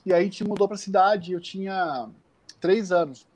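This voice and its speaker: A man from the Campinas area of Brazil, telling childhood stories in a casual, animated way on a live call, laughing often. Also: high-pitched, moderate pace. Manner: animated, laughing, many restarts. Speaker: guest. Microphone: phone on a live stream.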